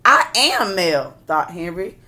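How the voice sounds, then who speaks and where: A woman's voice: fairly low pitch, sing-song, slow, sharp tone. A woman reading a picture book aloud like a teacher, expressively, with casual asides that explain and question her listeners.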